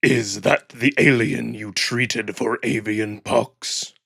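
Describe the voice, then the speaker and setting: A man voicing a furious old wolf emperor, husky and gravelly, barking slow imperious orders in a visual novel. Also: lowish voice, steady tone, sharp tone, dry recording, clean studio sound, heavily acted. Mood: stern.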